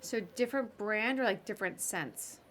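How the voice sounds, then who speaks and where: Bright-voiced woman hosting a podcast. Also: mid-range voice, moderate pace. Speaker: podcast host. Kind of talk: conversational podcast interview.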